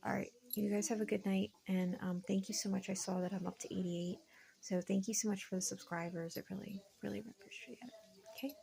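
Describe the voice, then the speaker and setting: A tired woman with a cracking, slightly hoarse voice, chatting casually to viewers as a vlogger in a hesitant, meandering way, peppered with "like" and "you know". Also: medium pitch, steady tone, fairly quick, dark tone. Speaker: vlogger and online reseller. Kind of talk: casual rambling chat to viewers.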